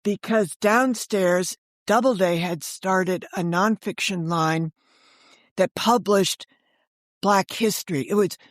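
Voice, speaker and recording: An older woman tells publishing-style anecdotes as an interview guest. Her medium-pitched voice is recorded dry, and she frames her storytelling with rhetorical questions and quoted dialogue. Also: slow pace, dry recording. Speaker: guest, author and former book editor. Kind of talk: animated storytelling in a podcast interview.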